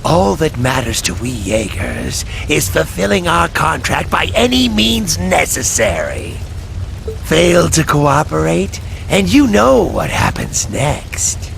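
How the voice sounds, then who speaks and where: A man playing an unscrupulous mercenary villain in a video game, smug and taunting, with a very gravelly voice. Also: a little high, wildly swooping pitch, slow pace, a bit bright, a little hiss or hum, exaggerated voice. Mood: smug menace. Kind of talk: dramatic video game acting.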